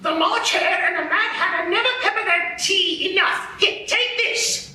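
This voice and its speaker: A woman's voice: very high voice, normal speed, bright, forceful tone, slight background noise, heavily acted. A woman voicing a cheerfully muddled character who keeps pressing pepper on people. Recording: roomy live stage, distant mics.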